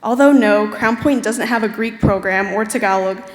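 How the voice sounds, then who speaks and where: A high school senior girl giving a candid, personal prepared speech at a ceremony. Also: moderate pace. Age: teens. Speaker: student speaker. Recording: roomy hall with long reverb.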